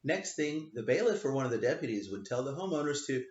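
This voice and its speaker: A man's voice: medium pitch, animated, quick pace, a little mellow. A man, a lawyer, reading his own book aloud in a wry, offhand way, stressing the punchlines. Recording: roomy, dull lo-fi home recording.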